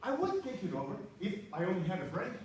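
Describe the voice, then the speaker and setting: A man in his sixties giving an animated, humorous speech to an audience from a stage, now and then breaking into bits of song. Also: high-pitched, quick pace, a crowd in the background. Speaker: contest speaker. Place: auditorium stage before an audience. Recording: echoey hall from far off, faint hiss.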